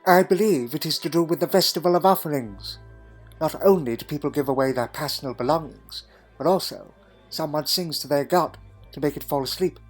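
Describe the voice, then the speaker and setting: A man's voice: a little high, brisk, faint music behind, natural voice. A man playing a crotchety, dry-witted elderly time traveller in a fan audio drama, fussy and full of questioning hums. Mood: wry, wary. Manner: fussy, punctuated with questioning hmms.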